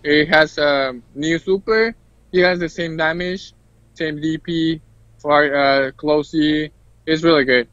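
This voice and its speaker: A man chatting casually as a guest player, giving game opinions in a halting way with repeated, restarted phrases, over lo-fi voice chat. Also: a little high, steady tone, a bit bright, dry recording. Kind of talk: casual unscripted game discussion.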